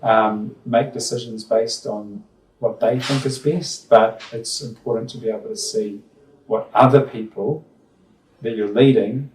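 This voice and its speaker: A middle-aged New Zealand man and school principal, answering interview questions thoughtfully with many ums and restarts. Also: fairly low pitch. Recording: roomy sound from far off the mic.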